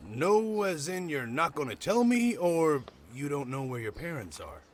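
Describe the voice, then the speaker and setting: An actor playing an irritated, incredulous stranger who questions brusquely, in an audio drama. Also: male voice, on the high side, big pitch swings, unhurried, a little hiss or hum.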